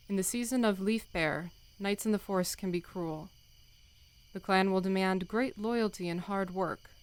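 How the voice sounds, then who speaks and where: Stern she-cat clan leader played by a woman, solemn and commanding, measured delivery for an animal audio drama. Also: fairly low pitch, smooth, natural voice. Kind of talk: audiobook fandub acting.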